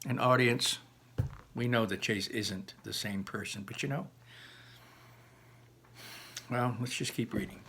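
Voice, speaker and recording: A man reading a children's novel aloud like a teacher, with animated, dramatic emphasis and explanatory asides to listeners. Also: mid-range voice, unhurried, long pauses, a little hiss or hum.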